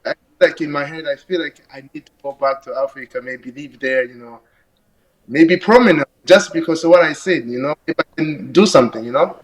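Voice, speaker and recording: Young adult man, podcast guest, from Burundi; casual, reflective, somewhat higher voice. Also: low-quality recording. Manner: reflective, halting, many "you know" fillers. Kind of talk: casual conversation.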